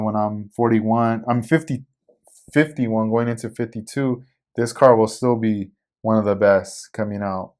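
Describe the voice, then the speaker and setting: A man's voice: medium pitch, a little mellow. A man in his forties hosting a casual podcast, talking loosely about new hobby shop stock with lots of fillers. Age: 40s. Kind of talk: casual product rundown.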